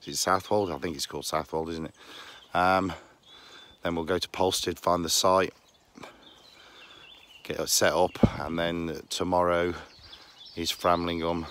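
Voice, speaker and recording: A middle-aged man from England with a croaky voice, joking casually as he films his vlog outdoors. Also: low voice, fairly even intonation, unhurried, fairly bright tone, slight background noise. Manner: jokey, teasing banter. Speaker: vlogger. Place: outdoors by a lake and countryside path. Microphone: phone camera held close.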